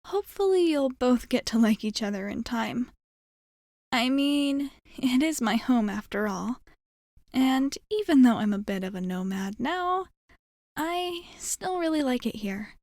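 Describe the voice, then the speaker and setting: A woman playing a friendly, optimistic alien pilot in a soft-spoken ASMR roleplay, reassuring the listener in a hesitant, apologetic way. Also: on the high side, dry recording, clean studio sound.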